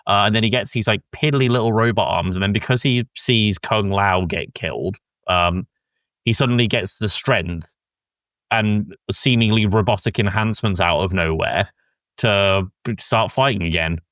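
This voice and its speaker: A low-pitched man hosts a relaxed film chat, explaining details like an enthusiast. His language is informal and a bit sweary, and the sound is close and dry. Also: normal speed, no room sound. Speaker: podcast host. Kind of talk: casual film discussion.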